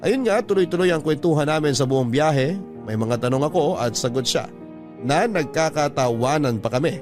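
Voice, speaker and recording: A man's voice: fairly high pitch, animated, moderate pace. Man narrating a radio-style letter story. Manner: intimate, confiding, reads a listener's letter aloud. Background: faint music.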